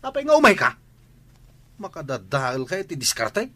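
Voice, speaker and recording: A man's voice: on the high side, huge pitch swings, moderate pace, bright, forceful tone, heavily acted. A man playing a cheeky, flirtatious household driver in a radio comedy-drama, sly in his delivery. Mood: sly, teasing.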